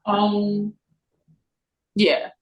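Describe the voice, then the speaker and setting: A woman's voice: medium pitch, monotone, drawn-out words, poor audio. A woman in casual conversation on an online call, talking informally and a little hesitantly. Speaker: collaborator on an audio play. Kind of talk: casual meeting chat. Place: online video meeting. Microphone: video call.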